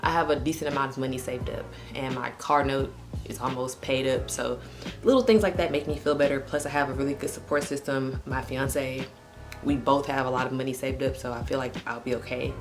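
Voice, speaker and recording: A woman's voice: rumbling low, fairly even intonation, brisk, music in the background. A woman in her late twenties talking candidly to camera in a casual vlog, full of "like" and "um". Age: 20s. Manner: candid and chatty, heavy use of "like" and "um". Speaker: vlogger. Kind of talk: casual personal talk to camera.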